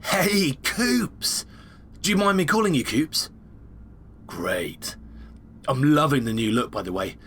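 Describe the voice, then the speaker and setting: A man's voice: fairly high pitch, animated, takes it slowly, pauses often, fairly bright tone, a little hiss or hum. A man playing a chummy, eager space agent who guides the player as a partner in a sci-fi video game. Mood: eager, admiring. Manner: informal, enthusiastic, instructive. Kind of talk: video game tutorial dialogue acting.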